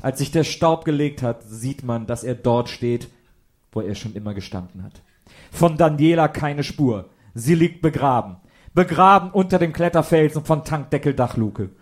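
A man's voice: a little high, lively intonation, normal speed. A man reading scripted narration for a comedic audio drama.

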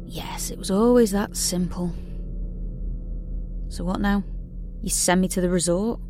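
A woman's voice: on the low side, unhurried, mellow, a little hiss or hum, natural voice. An actress playing a wary, defiant young woman in a dystopian audio drama, in both dialogue and intimate narration. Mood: bitter, defiant. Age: young adult.

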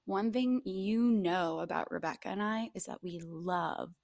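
A woman's voice: medium pitch, a little slow, vocal fry, a little mellow, no room sound. A woman co-hosting a casual podcast, chatting in a playful, mock-dramatic way. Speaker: podcast co-host.

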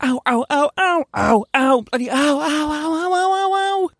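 A man's voice: very animated, measured pace, no echo, clean studio sound, full character voice. A man voicing a frazzled, sarcastic young man who has just learned he is a werewolf. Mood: pained. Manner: exasperated, sarcastic, high and strained.

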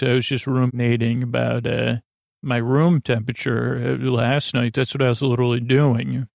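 Male sleep-podcast host, gravelly voice, dry close sound, meandering and reassuring. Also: medium pitch, a little mellow, dry recording. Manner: meandering, goofy, filler-heavy. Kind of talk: rambling bedtime monologue.

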